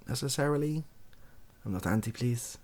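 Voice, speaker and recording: A young man speaking softly and breathily in a casual, rambling monologue, hesitant and self-deprecating as he gives his opinions. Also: mid-range voice, lively intonation, fairly quick. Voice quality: soft, husky, breathy. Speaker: online video creator giving opinions.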